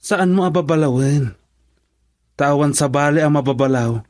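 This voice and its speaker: A man's voice: fairly high pitch, moderate pace, darkish tone, no echo, studio quality, lightly acted. A man acting in an Ilocano radio drama as a frank friend, speaking in an emphatic, questioning way. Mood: reproachful.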